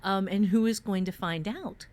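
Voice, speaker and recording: A woman hosts a casual writing talk in a mid-pitched voice. She explains like a teacher, leaning on key words and dropping in playful asides. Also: normal speed, darkish tone. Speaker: writing podcast host and teacher.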